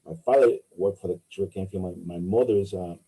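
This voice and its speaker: Low, dark-voiced man. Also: husky, rough recording. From Dominican Republic. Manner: halting, many fillers and restarts. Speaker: political candidate. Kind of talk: interview answers.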